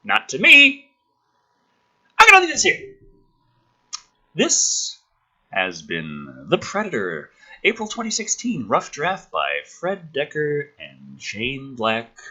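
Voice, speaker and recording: A man giving exasperated, sweary commentary on a script he is reading aloud, with theatrical outbursts and yelling. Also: a little high, wildly swooping pitch, slow, long pauses, slightly rough. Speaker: host reading a film script draft aloud with angry commentary. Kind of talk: ranting commentary mixed with dramatic script reading.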